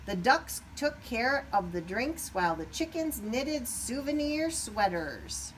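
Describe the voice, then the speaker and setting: A woman reading a children's story aloud expressively, pausing at times to put questions to young listeners. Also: a little high, big pitch swings, far from the mic, slight background noise. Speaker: storybook reader.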